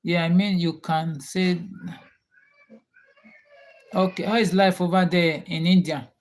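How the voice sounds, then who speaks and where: Slow-talking Nigerian man hosting a stream. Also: high voice, steady tone. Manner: unhurried, repeats questions to chat viewers. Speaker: livestream host. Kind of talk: casual chat with viewers.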